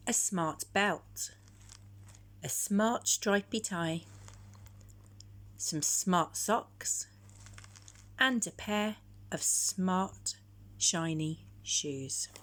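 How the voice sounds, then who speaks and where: A woman storyteller narrating a children's picture book in a measured, expressive way. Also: fairly low pitch.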